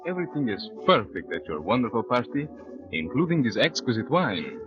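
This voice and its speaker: An actor playing a gracious, flattering male party guest in a 1940s radio commercial. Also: medium pitch, a little fast, natural voice. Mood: flattering, nostalgic. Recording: dull 1940s radio recording, music behind.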